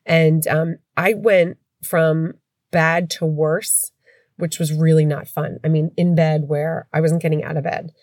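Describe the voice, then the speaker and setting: A woman's voice: sits low, little pitch movement, unhurried, darkish tone, no room sound, studio quality. A middle-aged woman with a stuffy head cold, chatting candidly as a podcast host from home. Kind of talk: casual podcast chat.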